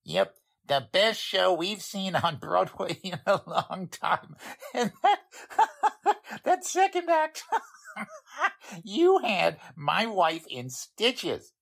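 A man plays a plain-spoken bank attendant in a 1940s-style radio drama. He is chatty and deferential, with a rough-edged husky voice and very animated intonation. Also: high voice, very slow, exaggerated voice.

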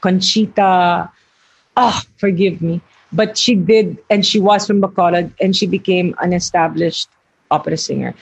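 An older woman speaks with a husky, slightly breathy voice. She talks earnestly and reflectively about her work as an actress, searching for words and correcting herself as she goes. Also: on the low side, moderate pace, rough recording. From Philippines. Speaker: actress, interview guest. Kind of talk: reflective interview talk.

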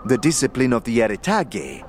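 A man's voice: medium pitch, huge pitch swings, normal speed, a little hiss or hum. A man voicing a male warrior monk bound by a vow of peace, speaking stiffly and formally of himself as "this one". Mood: solemn. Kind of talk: tabletop audio drama.

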